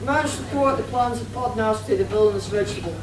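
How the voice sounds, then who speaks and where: A woman's voice: on the high side, fast talker. A woman narrating a live radio play as compere, in a theatrical, declamatory manner. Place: convention hall before an audience. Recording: echoey, noisy live hall, distant mic.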